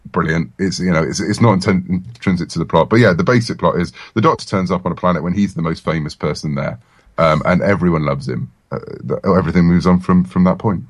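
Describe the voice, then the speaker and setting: Low-voiced enthusiastic man, dryly recorded. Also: fast, slightly rough, dry recording. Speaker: interview guest, comic writer. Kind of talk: casual interview chat.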